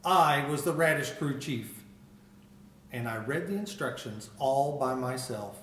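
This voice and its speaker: An older man, a school principal, reading a picture book aloud with expressive storytelling. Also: medium pitch, measured pace, far from the mic, slight background noise. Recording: roomy echo.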